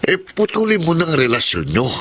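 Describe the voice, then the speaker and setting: A man's voice: fairly high pitch, huge pitch swings, moderate pace, a bit bright. Stern older Filipino father, noisy recording. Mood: stern, worried. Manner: grave, reproachful. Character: stern, worried older Filipino father admonishing his son. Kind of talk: Filipino radio drama acting.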